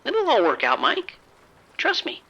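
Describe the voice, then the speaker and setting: An actor playing a reckless gambler in an audio drama, cocky and breezy, always coaxing and persuading. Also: male voice, very high voice, wildly swooping pitch, a little slow, bright, forceful tone, lo-fi sound, heavily acted. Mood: dismissive, reassuring.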